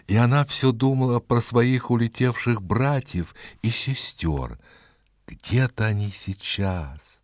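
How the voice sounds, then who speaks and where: A man with a very deep voice narrates a fairy tale. His delivery is slow and dramatic, with expressive rises and falls. The recording is dry and close. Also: dry recording.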